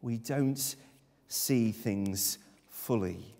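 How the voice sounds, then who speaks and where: A man's voice: fairly low pitch, drawn-out words, vocal fry, mellow. A man in his thirties preaching a sermon at a lectern in a church, earnest and emphatic. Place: church sanctuary, speaking at a lectern. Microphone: far camera. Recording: long church reverb.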